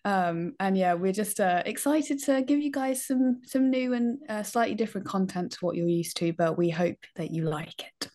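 A woman's voice: medium pitch, lively intonation, normal speed, few pauses, darkish tone. A woman co-hosting a podcast, speaking in a friendly, upbeat and casual way. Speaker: podcast co-host. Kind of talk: casual chat.

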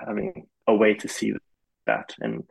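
A man's voice: on the low side, unhurried, gravelly, breathy edge, poor audio. A young man, a film composer raised in Malaysia, talking casually in an interview, rambling with lots of fillers. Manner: relaxed, rambling with many "like" fillers.

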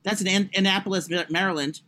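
A man with a high voice chatting as a podcast co-host, crude, rambling and self-mocking. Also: fairly even intonation, fast, sharp tone, slight background noise. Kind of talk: casual chat.

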